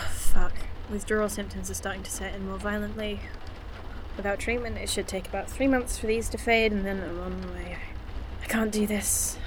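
An actress playing an anxious, sardonic woman scientist in an audio drama, with a halting, dry, self-deprecating delivery. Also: medium pitch, fairly bright tone, slight background noise, natural voice.

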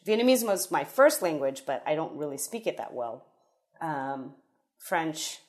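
A woman's voice: low-pitched, a little mellow. A woman in her thirties, a podcast guest chatting casually, rambling and correcting herself as she tells a story. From United States.

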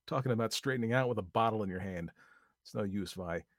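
A man's voice: lowish voice, fast talker, no room sound, clean studio sound. A man reading aloud voices a bossy, scornful young woman who snaps and talks vulgar when angry.

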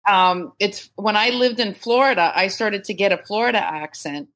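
A woman's voice: medium pitch, moderate pace, a bit bright. A woman in her fifties from Canada, whose first language was Serbian, chatting as a podcast guest over a video call, laughing often and restarting words. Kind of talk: casual interview chat.

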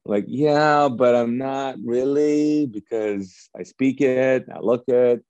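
A man who was born in Kuwait and whose first language is Urdu, telling his life story as a podcast guest in a lively way and acting out past conversations. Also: mid-range voice, takes it slowly, smooth, no room sound, lo-fi sound. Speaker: guest pastor.

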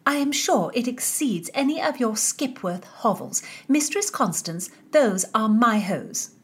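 A woman's voice: on the high side, very animated, unhurried, fairly bright tone, slightly rough, pitched above the performer's own voice, full character voice. A woman voicing a snobbish young noblewoman with a haughty, clipped manner in an audiobook.

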